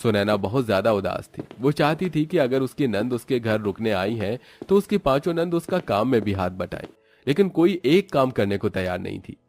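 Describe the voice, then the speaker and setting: A man's voice: medium pitch, very animated, moderate pace, darkish tone. A man narrating a scripted moral story as its storyteller.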